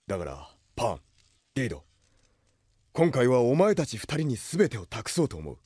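An actor playing a grave, lordly exiled prince who leads a rebel army, speaking in solemn, measured lines in an anime audio drama. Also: male voice, medium pitch, wildly swooping pitch, mellow, breathy edge, no room sound, studio quality. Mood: grave, resolute.

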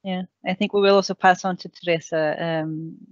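A woman's voice: lowish voice, fairly even intonation, moderate pace, dry recording. A woman in her thirties moderating a webinar, polite and handing over to speakers, on a headset. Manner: polite, with fillers. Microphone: headset on video call. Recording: dry, dull headset audio.

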